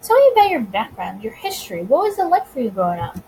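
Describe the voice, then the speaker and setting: Woman reading as a wry, animated detective. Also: a little high, quick pace, a little hiss or hum, rough recording. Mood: curious. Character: wary, wry male police detective, smitten with a gangster.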